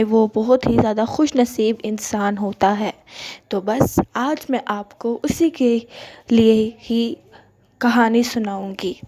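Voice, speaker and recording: A woman tells a children's moral story in a soft-edged, mellow voice. Her pitch sits a little high, and she speaks as a storyteller narrating a simple tale.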